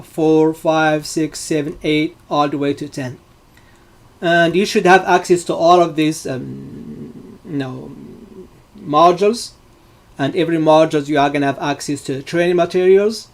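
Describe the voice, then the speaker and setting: A middle-aged man from Morocco, his voice sitting a little high, teaches a live online class. He speaks in loose, halting phrases padded with fillers as he explains each point to his students. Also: a little mellow. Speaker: data science instructor.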